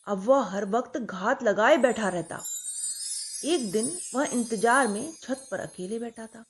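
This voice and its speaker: A woman narrating a scripted bedtime story for children, addressing kids directly in a clear, expressive way. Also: a little high.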